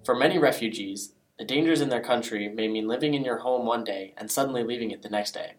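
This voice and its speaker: A man reading scripted feature narration in a measured, explanatory way. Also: mid-range voice, faint music behind, poor audio. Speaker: reporter, narrator.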